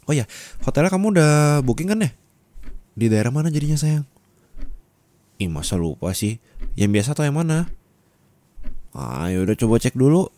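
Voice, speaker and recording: Man acting as young husband with very animated voice. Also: medium pitch, moderate pace, pauses often, natural voice. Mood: casual, suspicious. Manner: intimate, colloquial, turning from soft to scolding. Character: young husband, affectionate then angrily scolding his wife. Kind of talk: Indonesian ASMR roleplay acting, addressed to the listener. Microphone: close studio microphone.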